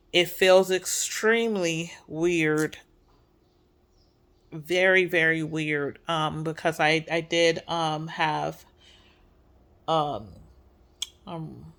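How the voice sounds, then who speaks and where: A woman talks slowly through a stuffy nose, sharing a personal update with her viewers. Her delivery is soft and hesitant, with many pauses and ums. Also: sits low. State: congested. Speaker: vlogger.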